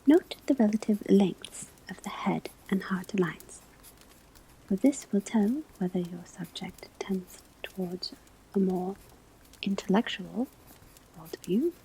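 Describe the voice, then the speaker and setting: A woman playing a gentle witch-school divination teacher in an ASMR roleplay, whispering breathily as she gives measured instruction. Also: medium pitch, slight background noise, rough recording. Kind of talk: ASMR classroom roleplay lecture.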